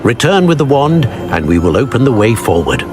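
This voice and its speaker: An actor playing a grave older wizard professor in a video game, solemn, measured and formal in his speech. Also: mid-range voice, sing-song, over music, lightly acted. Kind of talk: dramatic video game acting.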